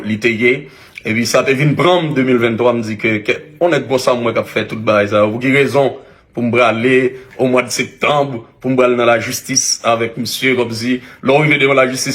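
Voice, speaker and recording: Haitian-accented man, earnest and halting. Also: medium pitch, fairly bright tone. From Haitian community, Canada. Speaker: online media commentator. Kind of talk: public apology video, partly read from a text.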